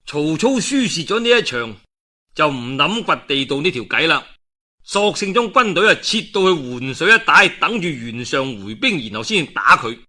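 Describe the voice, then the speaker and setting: Male traditional storyteller, Cantonese, slightly high and very forceful, dramatic and chatty in narrating old war tales. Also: moderate pace. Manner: dramatic, animated delivery with conversational particles and asides. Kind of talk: traditional Chinese storytelling of a historical novel, colloquial narration.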